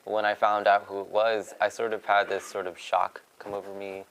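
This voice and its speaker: A man's voice: lowish voice, flat delivery, normal speed, crowd noise. A young man, a student, talking informally in an interview. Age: young adult. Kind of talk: halting interview talk.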